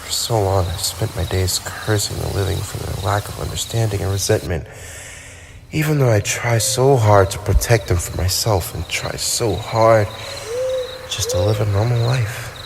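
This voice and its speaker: A man's voice: lowish voice, moderate pace, creaky, noisy background. A man playing a lonely, centuries-old vampire with wounded pride, speaking in wistful, formal, theatrical phrases in an ASMR roleplay. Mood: shy, touched. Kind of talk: ASMR roleplay monologue addressed to the listener. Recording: night ambience with crickets and owls.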